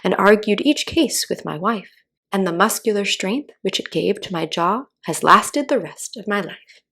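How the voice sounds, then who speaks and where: A woman's voice: medium pitch, animated, moderate pace, sharp tone, husky, exaggerated voice. A woman voicing a boastful, testy old father, reciting rhymed verse from a children's storybook. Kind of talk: children's storybook read-aloud.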